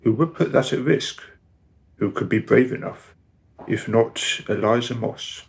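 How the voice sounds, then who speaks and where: A man playing the narrator of a radio play, telling the story in a measured, literary and wry manner. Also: medium pitch, creaky, a little mellow.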